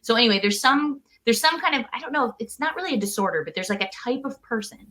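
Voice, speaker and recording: A woman's voice: on the high side, expressive, fast talker, bright, forceful tone. A woman in her thirties from the United States, a podcast guest talking casually from home over a call, chatty and laughing often.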